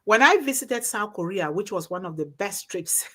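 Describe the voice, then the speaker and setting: Woman, discussion host, from Africa, emphatic, repetitive phrasing, impassioned storytelling, mid-pitched. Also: lively intonation, normal speed, pushed and bright. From born and raised in Africa. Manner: emphatic, repeats phrases for effect. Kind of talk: impassioned informal storytelling and exhortation.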